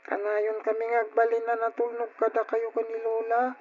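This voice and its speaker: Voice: medium pitch, completely flat delivery, moderate pace, natural voice. An actor playing an earnest, polite young orphan child in an old radio drama, with an Ilocano accent and emotive delivery. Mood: pleading. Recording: dull lo-fi radio recording with music.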